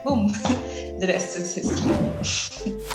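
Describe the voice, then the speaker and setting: A woman's voice: mid-range voice, takes it slowly. A young woman who grew up in India, chatting casually to camera and often laughing as she reacts to viewers' guesses. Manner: giggly, relaxed, reading out comments. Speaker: series participant. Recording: echoey room with music behind.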